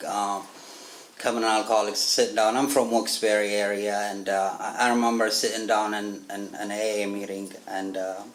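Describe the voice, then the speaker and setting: A man's voice: on the low side, flat delivery, slight background noise. Ethiopian accent guy in his 30s, jokey recovery talk, keeps laughing, stumbles and restarts. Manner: joking, laughs often, halting restarts. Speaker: guest speaker at a recovery meeting. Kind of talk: personal recovery story, casual chat. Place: church meeting room.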